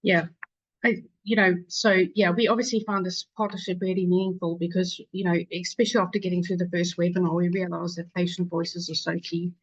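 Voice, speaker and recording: South African woman, lowish voice, hesitant webinar talk, dull dry call audio. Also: little pitch movement, brisk, breathy edge, no echo. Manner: hesitant, many fillers and restarts. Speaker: charity founder presenting in a webinar. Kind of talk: webinar presentation. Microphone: video call.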